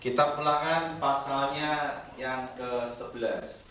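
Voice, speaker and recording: A man's voice: medium pitch, fairly even intonation, takes it slowly, pushed and bright, a little hiss or hum. A middle-aged man preaching a sermon to a congregation, joking playfully with them. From Indonesia. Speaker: guest preacher. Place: church hall. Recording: roomy church sound from a distant mic.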